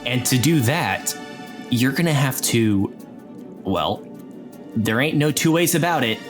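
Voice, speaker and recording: Male game master, lively swinging intonation, casual storytelling over clearly audible music. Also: medium pitch, unhurried. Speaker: game master and narrator of an actual play podcast. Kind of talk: casual tabletop game narration.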